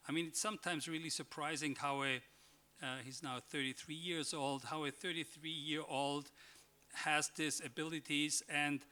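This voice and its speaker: A man addresses a conference panel in a somewhat high, bright voice. He hesitates a lot, filling gaps with "uh" and "like" and restarting his sentences. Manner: hesitant, many fillers and restarts. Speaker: panelist, member of parliament.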